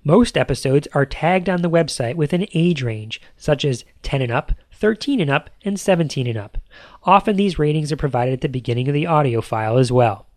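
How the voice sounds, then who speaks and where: A man with a fairly high voice hosts a podcast, reading a scripted monologue in an easy, conversational way. He leans playfully into his lists of examples. The sound is close and dry, with no room around it. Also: lively intonation, fairly quick, darkish tone, no room sound. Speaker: podcast host.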